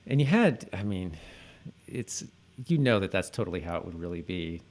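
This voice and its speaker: A man co-hosting a podcast in casual chat, halting, often repeating words and trailing off. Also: deep voice, very animated, darkish tone. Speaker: podcast co-host.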